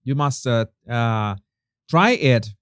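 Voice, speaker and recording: A man's voice: mid-range voice, lively intonation, slow pace, smooth, no echo. Chatty Venezuelan man with a dry sound. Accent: Venezuelan Spanish. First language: Spanish. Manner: chatty, many restarts and fillers, laughs at own jokes. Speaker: YouTube host. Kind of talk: casual chat reading viewer comments.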